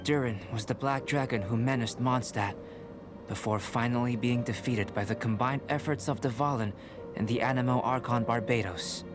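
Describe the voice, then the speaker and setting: Man acting a calm scholarly young alchemist, formal and measured. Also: medium pitch, over music, close to an everyday voice. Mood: musing. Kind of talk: video game dialogue acting.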